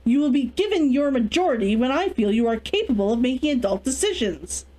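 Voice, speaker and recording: A woman performs a controlling elder sister and guardian from a storybook. She is cold and authoritative, scolding with firm emphasis. The voice sits fairly high and moves with lively inflection. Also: brisk. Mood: cold authority.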